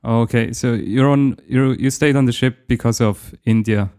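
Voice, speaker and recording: A man's voice: mid-range voice, fairly even intonation, moderate pace, darkish tone. A man hosting a podcast, asking short questions and repeating words in a casual interview. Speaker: podcast host.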